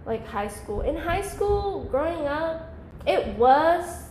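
A young woman in her twenties chats as a podcast guest, her voice sitting a little high. She rambles in a loose, laid-back way, filling gaps with "like". The room is echoey, with a faint hiss underneath. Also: big pitch swings, takes it slowly, a little mellow. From Georgia, US. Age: 20s. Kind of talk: casual podcast chat.

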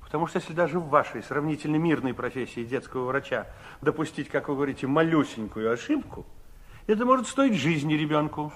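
An actor playing a calm, kindly older man, soothing and gently wry, in a 1960s radio play. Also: fairly high pitch, fast talker. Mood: calm, reasoning. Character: calm, kindly older man, reassuring family friend and storyteller.

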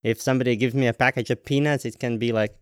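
A man's voice: medium pitch, fairly even intonation, fast talker, smooth, no echo, studio quality. A man, interviewing founders in a friendly, chatty way with jokey asides. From Latvia. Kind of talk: casual interview chat.

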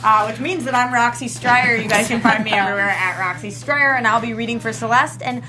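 A woman's voice: a little high, a little fast, sharp tone. A woman chatting casually as a panel actor, with a joking, self-deprecating manner. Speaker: actor on a table-read panel. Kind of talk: casual chat.

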